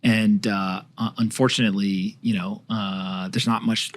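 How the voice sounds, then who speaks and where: A man hosting an interview, asking relaxed questions and giving short acknowledgements. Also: fairly low pitch, a little slow, slight background noise. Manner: brief backchannels, relaxed questions. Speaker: podcast host.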